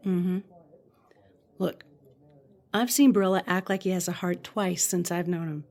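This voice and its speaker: A woman plays a police office clerk in an audio drama. Her voice is a little low, and she talks in a candid, matter-of-fact way. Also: steady tone, measured pace, darkish tone, natural voice.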